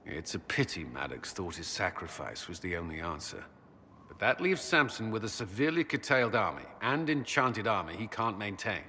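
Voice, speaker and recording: Resolute, earnest game commander, lively intonation, purposeful and formal, in a reverberant hall. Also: male voice, on the low side, normal speed, a little hiss or hum. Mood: grave, measured. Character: earnest, resolute male military commander of a fantasy army. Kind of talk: video game cutscene acting.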